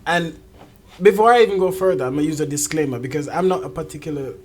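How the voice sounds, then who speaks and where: A woman's voice: low voice, fast, a little mellow. An outspoken woman talking frankly and emphatically in a casual, opinionated conversation. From Jamaica. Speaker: talk show host.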